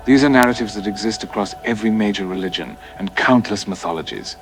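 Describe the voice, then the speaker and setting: A man playing a solemn religious studies professor in an audio drama, explaining in a deliberate, scholarly way. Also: lowish voice, over music, natural voice. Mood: solemn, explanatory. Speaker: professor, expert interviewee. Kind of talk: scripted expert news interview.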